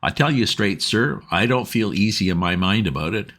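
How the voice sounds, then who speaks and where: A man's voice: fairly low pitch, animated, normal speed, a bit bright, pitched above the performer's own voice. Man doing a fretful chatty boatman's wife. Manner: homely dialect, rambling. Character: stout red-faced working-class boatman's wife, anxious, chatty.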